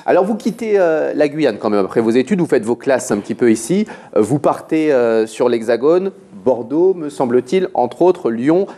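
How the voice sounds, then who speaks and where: A man's voice: medium pitch, animated, moderate pace, few pauses, warm tone. A man hosting a TV talk show, teasing his co-host and greeting his guest in a playful, mock-formal way.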